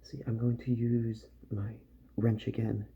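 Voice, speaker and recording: A man speaking softly, slowly and soothingly in an ASMR roleplay as a repair doctor, often slipping into a whisper. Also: on the low side, little pitch movement, gravelly, muffled recording, slight background noise.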